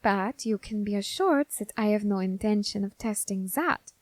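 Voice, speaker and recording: A woman's voice: medium pitch, dry recording, lightly acted. A woman playing an eager, curious young Grim Reaper scholar in an ASMR roleplay, fascinated and politely menacing. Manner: fascinated, deliberate, politely threatening. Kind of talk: ASMR roleplay addressed to the listener.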